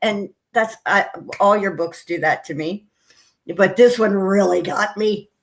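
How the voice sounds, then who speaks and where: A woman host gushes with enthusiasm and breaks into laughter often. A cold has left her voice deeper and rougher than usual, though her pitch stays in an ordinary middle range. She talks in quick, eager bursts. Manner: gushing and excitable, laughs often. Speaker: show host. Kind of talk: casual interview chat.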